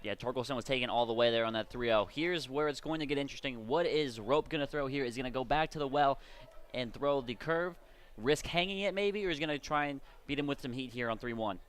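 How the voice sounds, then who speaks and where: A man's voice: medium pitch, quick pace, fairly bright tone. A man giving conversational, explanatory color commentary on a live radio baseball broadcast. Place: at a baseball game. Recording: clear ambient noise behind voice.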